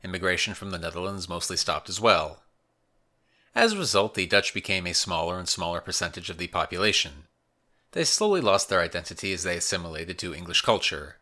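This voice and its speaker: Deep-voiced man narrating history. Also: very animated, pushed and bright. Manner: measured, explanatory. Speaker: history podcast host.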